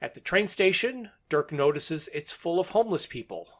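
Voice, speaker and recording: A man's voice: a little high, sing-song, moderate pace. A man co-hosting a podcast, recapping a plot in a methodical, matter-of-fact way. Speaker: podcast co-host.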